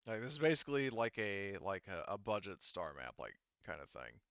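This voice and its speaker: A man casually narrating a tabletop game as game master, improvising in a halting, wordy way. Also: on the low side, very animated, a bit bright, no room sound, highs cut off.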